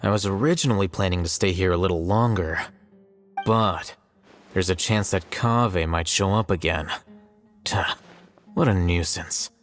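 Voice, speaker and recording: An actor playing an aloof, blunt young scholar in a video game, deadpan, matter-of-fact and dismissive. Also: male voice, low-pitched, moderate pace, pauses often, close to an everyday voice. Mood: dry, dismissive. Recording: faint music behind the voice.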